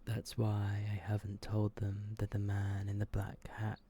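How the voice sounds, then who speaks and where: A man softly reading short horror stories in an ASMR style, slow and hushed, often slipping into a whisper. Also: lowish voice, monotone, a little hiss or hum. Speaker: ASMR video creator and host.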